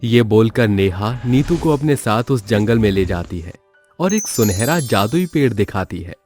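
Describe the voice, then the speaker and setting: A man narrates a scripted children's tale. His voice is low and moves with lively swings in pitch, over faint music. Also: moderate pace.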